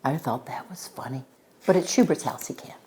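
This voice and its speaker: An older woman reading a storybook aloud to children, narrating expressively and dramatically, with hushed words and direct questions. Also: sits low, brisk, in a whisper.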